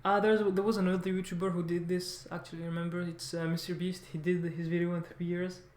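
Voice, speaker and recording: A man talks off the cuff to his viewers. He speaks haltingly, circling back and restarting his phrases as he rambles. The recording has a clear room echo around his voice. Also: high-pitched, level tone, darkish tone. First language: French. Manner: halting, rambling, repeats words and corrects himself often. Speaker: vlogger, language learner. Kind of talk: casual unscripted monologue to viewers.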